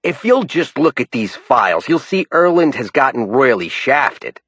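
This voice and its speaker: A male narrator voicing a heavyset older chain-smoker with a New York accent, a parched raspy voice and a brash, wheedling way of bargaining. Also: mid-range voice, very animated, moderate pace, a bit bright, no echo, voice pushed up. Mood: insistent.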